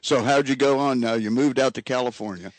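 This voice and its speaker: A man's voice: medium pitch, steady tone, brisk, dry recording, rough recording. Upbeat male radio host. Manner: friendly, upbeat, laughs easily. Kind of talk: casual interview. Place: radio studio. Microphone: studio microphone.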